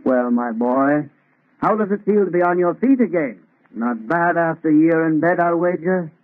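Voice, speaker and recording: An actor playing a grave, God-fearing older professor and mentor in an old radio melodrama, speaking solemnly and theatrically. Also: male voice, fairly high pitch, expressive, normal speed, close to an everyday voice. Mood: kindly, cautious. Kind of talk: 1930s radio melodrama acting. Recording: tinny, noisy old radio recording.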